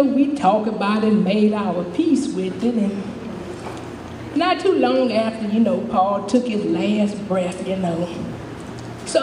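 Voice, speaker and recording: A woman playing a chatty, good-humored older homeless widow, telling her story in a folksy, rambling stage monologue. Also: a little high. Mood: reflective, sorrowful. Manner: folksy, rambling, repeats "you know", chuckling. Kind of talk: dramatic monologue, storytelling to a live audience. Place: indoor stage performance, distant microphone. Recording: echoey hall from far off, faint noise.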